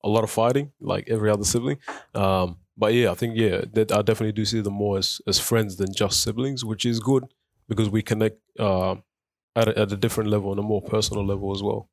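A man's voice: fairly low pitch, fairly even intonation, fast, gravelly, darkish tone, no echo, studio quality. A man in his twenties, from South Sudan, being interviewed on community radio about his life, speaking thoughtfully with many fillers and restarts. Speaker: guest. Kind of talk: radio interview, personal story. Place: radio studio.

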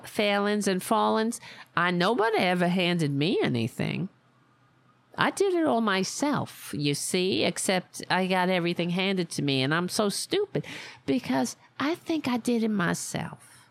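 A brash older widow from Staten Island, as a woman puts her on, with a thick Staten Island accent. She drawls slowly in a gravelly voice that swoops up and down. The sound is close and dry. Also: medium pitch, dry recording, heavily acted. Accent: Staten Island, New York. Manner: sarcastic, exaggerated, mocking persona. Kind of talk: satirical character monologue.

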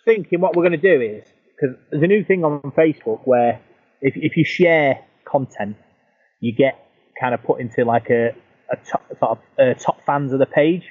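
A man's voice: mid-range voice, moderate pace. A man co-hosting a sports podcast, joking and teasing his co-host. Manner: jokey, teasing. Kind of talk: casual sports chat. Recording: tinny phone-like line.

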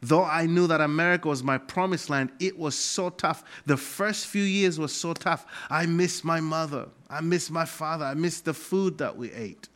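A middle-aged man with a Malawian accent preaching a sermon as a pastor, emphatic and deliberately repeating key phrases. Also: fairly high pitch, fairly bright tone.

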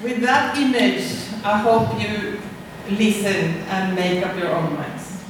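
A woman with a Norwegian accent presents to a conference audience, hesitating often with fillers and restarts. She sounds picked up from across a very reverberant lecture room. Steady background noise sits under her voice. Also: mid-range voice, slow. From Norway. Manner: hesitant, many fillers and restarts, light laughs. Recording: echoey room, distant mic, background noise.

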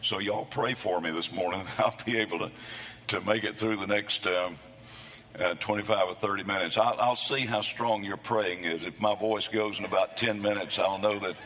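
A man preaching a sermon, his voice hoarse from losing it between services, with a folksy, self-deprecating humor. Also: on the low side, fairly even intonation, normal speed, fairly bright tone, long reverb tail. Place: church sanctuary.

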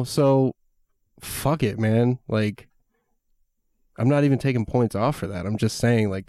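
A man's voice: medium pitch, mellow, clean tone, no room sound, studio quality. A young man chatting casually about a film, rambling with lots of fillers and swearing freely. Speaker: podcast co-host reviewing a film. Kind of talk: casual chat.

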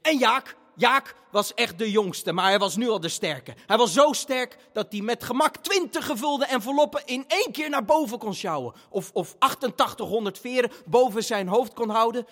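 An actor narrating a family radio play as an excitable storyteller, with dramatic, halting build-ups. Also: male voice, very high voice, exaggerated voice. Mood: boastful excitement. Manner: animated, halting build-ups with self-corrections. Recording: long hall reverb, music behind. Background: music.

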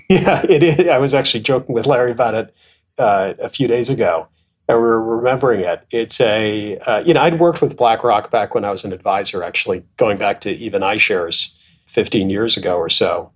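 A man's voice: lowish voice, brisk, slightly rough, muffled recording. A middle-aged man from Canada, an asset management executive, telling stories thoughtfully in an interview with many restarts and fillers. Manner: thoughtful, self-deprecating, frequent restarts and fillers. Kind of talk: conversational interview storytelling.

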